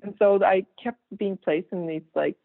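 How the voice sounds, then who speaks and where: A woman's voice: mid-range voice, fairly even intonation, moderate pace, gravelly, no echo. A woman in her thirties from Kazakhstan, a native Russian speaker, chatting casually as an interview guest, rambling with fillers and restarts and laughing easily. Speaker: guest artist. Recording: tinny phone-like line.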